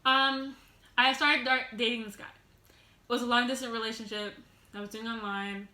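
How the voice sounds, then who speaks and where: Bright, loud teenage girl rambling. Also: a little high, flat delivery, moderate pace, echoey room. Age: teens. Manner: loud, rambling, giggly, tangents and restarts. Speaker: vlogger. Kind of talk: casual storytime vlog. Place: at home, sitting on the floor.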